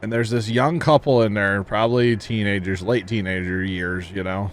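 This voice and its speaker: Laid-back low voiced guy streaming a farm game, rambly jokey stories, faint hum behind. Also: steady tone, normal speed. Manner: rambling, jokey storyteller, chuckles often. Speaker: livestream gamer. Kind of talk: casual livestream chat with viewers.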